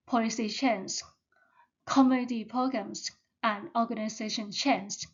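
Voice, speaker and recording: A woman whose first language is Thai walks through a presentation slowly and carefully, one step at a time. Her voice sits a little high, and the recording sounds somewhat dull and lo-fi. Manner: slow, careful, step-by-step delivery. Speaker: student presenter.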